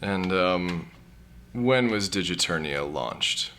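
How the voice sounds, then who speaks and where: Hesitant, exasperated, melancholy man, lowish bright voice, stammering, audio drama. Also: lively intonation, slow, a little hiss or hum, full character voice. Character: melancholy, awkward, exasperated dead man in digital afterlife. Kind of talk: scripted audio comedy-drama acting.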